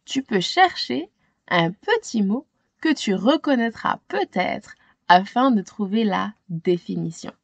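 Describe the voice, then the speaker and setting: A woman's voice: mid-range voice, expressive, slow, creaky, no room sound, dull audio. A woman hosting storytime, reading a children's story carefully and with dramatic expression. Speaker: storytime reader and host. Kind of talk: scripted children's storybook narration.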